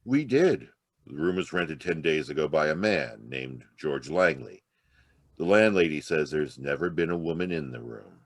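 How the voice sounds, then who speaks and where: A man playing a gruff, menacing, sarcastic police detective in a 1940s-style radio noir, curt and taunting. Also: very deep voice, lively intonation, moderate pace, full character voice. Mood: gruff, threatening. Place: live remote video-call performance.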